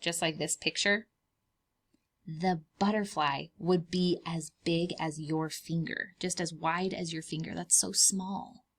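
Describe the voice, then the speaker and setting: A woman with a slightly low voice reads a nonfiction picture book to preschool children. She explains things in simple words, stresses key words expressively and often asks her young listeners questions. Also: a bit bright. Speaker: children's read-aloud host and preschool teacher. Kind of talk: read-aloud lesson for young children.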